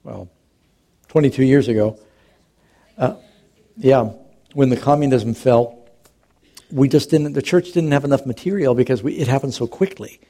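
Mellow-voiced man preaching a sermon. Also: medium pitch, moderate pace, long pauses. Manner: conversational storytelling with humor. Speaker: pastor.

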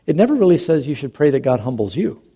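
Mellow-voiced man preaching a sermon. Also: fairly high pitch, big pitch swings, fast talker, husky. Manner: conversational storytelling with humor. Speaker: pastor.

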